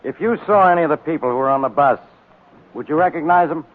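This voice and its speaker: An actor playing a gruff, sarcastic, irritable police inspector in a 1940s radio drama. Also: male voice, on the high side, fast talker, natural voice. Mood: brusque. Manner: brusque, sarcastic. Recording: tinny 1940s radio recording.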